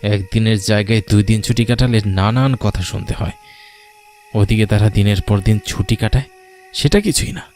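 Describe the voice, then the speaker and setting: A man's voice: fairly low pitch, normal speed, darkish tone, a little hiss or hum, natural voice. A man voicing a put-upon but dutiful office worker and grandson in a story cartoon. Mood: weary grumbling. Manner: grumbling in monologue, affectionate to elder. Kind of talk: Bengali cartoon voice acting.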